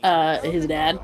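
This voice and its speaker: A woman commentating a VR match, chatting casually and laughing often. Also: low voice.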